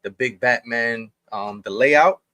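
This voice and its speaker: Easygoing male guest on a video call, mid-pitched, laughs easily, dry close sound. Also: takes it slowly, sharp tone, no room sound. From New York state. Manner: laid-back, laughs easily, repeats phrases. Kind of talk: casual interview chat.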